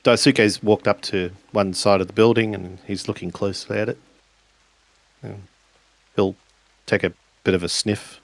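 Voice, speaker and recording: A man improvising narration for a tabletop role-playing game, in halting, deliberate phrases with pauses. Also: on the low side, steady tone, creaky, no room sound, rough recording.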